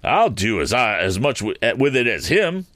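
A man voicing a gruff, surly young journeyman who growls his words in a storybook reading. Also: mid-range voice, big pitch swings, moderate pace, a bit bright, dry recording, voice pushed up. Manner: growling, sullen.

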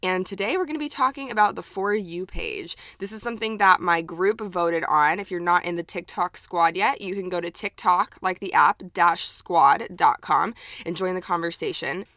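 A woman's voice: on the low side, normal speed, pushed and bright, dry recording, clean studio sound. A young woman with a light Texan accent, hosting her own podcast in an upbeat, chatty way with plenty of fillers. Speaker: podcast host. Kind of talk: casual solo podcast talk.

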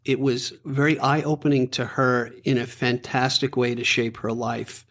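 A man's voice: mid-range voice, flat delivery, measured pace, fairly bright tone. A man in his fifties interviewing a band, chatty and given to rambling asides. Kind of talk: casual interview.

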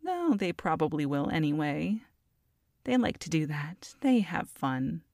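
Woman acting as a sassy, unhurried goblin mom. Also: low voice, sing-song, vocal fry, a little mellow, dry recording, studio quality, heavily acted. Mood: smug, playful. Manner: sassy, haughty, colloquial, addressing a captive. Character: feisty, scheming short goblin mother, taunting and proud. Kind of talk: ASMR audio roleplay monologue.

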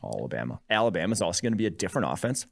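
Very deep-voiced man analysing sports. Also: very animated, rapid-fire, vocal fry. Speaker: sports podcast host. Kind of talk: casual sports analysis chat.

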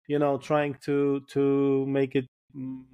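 A man's voice: medium pitch, monotone, slow pace, mellow, clear, resonant tone. A man with a Polish accent, a firefighter guest on a podcast, speaking thoughtfully and often repeating words as he searches for phrasing. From Poland. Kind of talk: casual podcast interview.

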